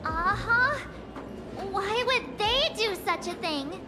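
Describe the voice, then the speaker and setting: A woman's voice: very high-pitched, animated, takes it slowly, heavy background noise, exaggerated voice. An actress playing a naive, curious young female companion in video game story dialogue, girlish and simple in manner, over music. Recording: music under the voice.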